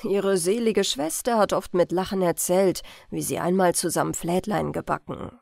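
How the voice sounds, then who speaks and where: A woman reading an old-fashioned family tale as an audiobook narrator, in carefully phrased, expressive storytelling. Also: fairly low pitch, normal speed, vocal fry, no room sound, clean studio sound. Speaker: audiobook reader.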